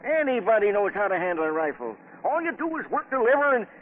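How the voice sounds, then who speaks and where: An actor playing a cranky, loyal older sidekick, folksy and excitable, in a 1940s radio drama. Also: male voice, high voice, fast. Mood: curious, indignant. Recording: tinny, noisy 1940s radio recording.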